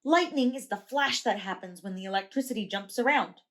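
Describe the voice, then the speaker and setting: A calm, helpful young boy in a picture book who explains things patiently and reassures his friends. He talks gently and steadily, read aloud by a woman. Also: mid-range voice, brisk, close to an everyday voice. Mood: explaining. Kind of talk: children's storybook read-aloud.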